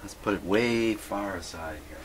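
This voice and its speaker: Low-voiced man musing aloud at his workbench. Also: normal speed, a little hiss or hum. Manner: rambling, musing aloud to himself. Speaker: host of a radio repair video. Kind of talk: casual hands-on workbench narration. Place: indoor home workshop.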